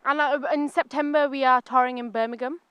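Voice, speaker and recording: A woman's voice: sits high, steady tone, a little fast, a bit bright, clean tone, no echo. An eighteen-year-old woman from Bradford, explaining eagerly and fluently in an interview. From Bradford, England. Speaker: interviewee, stage performer. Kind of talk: casual interview chat.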